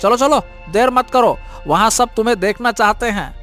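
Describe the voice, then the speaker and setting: A man's voice: very high voice, normal speed, fairly bright tone, soft background music. A man playing an eager, admiring common man in a moral-story cartoon. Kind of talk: animated Hindi moral-story dramatization.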